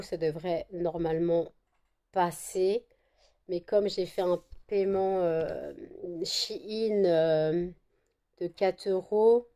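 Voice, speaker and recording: A woman chats to her phone at home in a loose, meandering way, full of hesitations and restarts. Her voice sits a little low and sounds mellow and soft. She is still a bit congested from a cold. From France. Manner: meandering, many fillers and restarts. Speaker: vlogger, candle maker. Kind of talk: casual rambling chat to camera. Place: at home indoors.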